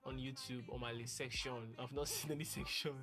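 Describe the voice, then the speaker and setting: Young man, casual earnest vlog talk, rambles with restarts, middle pitch, clear music bed. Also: fairly even intonation, fairly quick. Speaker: music producer.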